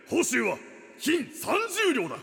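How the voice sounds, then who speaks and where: Gravelly, dramatic samurai retainer, reverberant. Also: male voice, extremely high pitch, wildly swooping pitch, slow pace, pushed and bright, heavily acted. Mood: solemn. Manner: archaic, solemn, dramatic speech. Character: earnest formal samurai retainer, middle-aged man. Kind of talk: comedic period radio drama acting.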